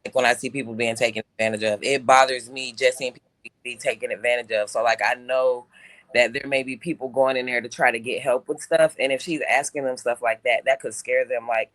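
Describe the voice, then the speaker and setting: A man's voice: mid-range voice, steady tone, a little fast, fairly bright tone, dry recording, low-quality recording. A man co-hosting a casual talk show, telling a personal story with lots of restarts and fillers. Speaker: show co-host. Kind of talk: casual podcast chat.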